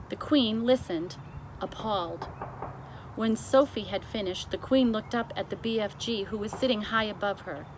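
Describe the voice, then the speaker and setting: A woman's voice: medium pitch, background noise. A woman reading a children's book aloud expressively as the narrator. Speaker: storybook reader.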